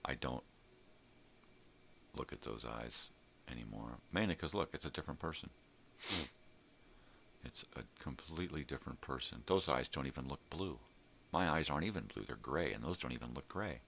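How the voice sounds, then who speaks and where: An older man with a very deep, gravelly voice chats casually in a rambling, drawn-out way, as a vlog host. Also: expressive, a bit bright, a little hiss or hum. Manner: rambling, drawn-out, mild swearing.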